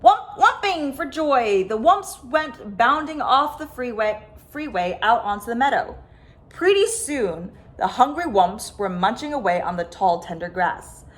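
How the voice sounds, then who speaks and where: Animated woman reading a picture book. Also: fairly high pitch, measured pace. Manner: dramatic, stressed sound words and phrases. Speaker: storyteller, host of a story time. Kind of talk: expressive children's picture book read-aloud.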